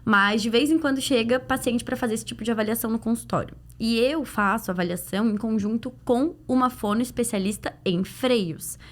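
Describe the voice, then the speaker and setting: Higher-pitched Brazilian woman explaining conversationally. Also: fairly quick, hardly any pauses. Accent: Brazilian Portuguese. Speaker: guest, pediatric dental clinician. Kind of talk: conversational podcast interview answers.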